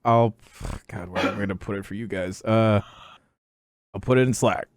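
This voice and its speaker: A man's voice: on the low side, moderate pace, no echo, studio quality. A man co-hosting a podcast in casual, joking chat, often hesitant and correcting himself as he goes. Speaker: podcast co-host.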